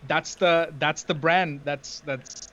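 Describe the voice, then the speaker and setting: Sri Lankan man, Tamil first language, slightly high voice, halting laughing chat, muffled stream audio. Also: steady tone, fairly bright tone. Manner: laughing, halting, many restarts. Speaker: guest. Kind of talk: casual livestream chat.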